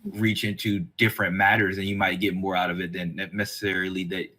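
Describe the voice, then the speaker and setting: A man's voice: low voice, flat delivery, fast, bright, forceful tone. A man hosting a casual podcast chat, talking in a rambling way that circles around his points. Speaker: podcast host.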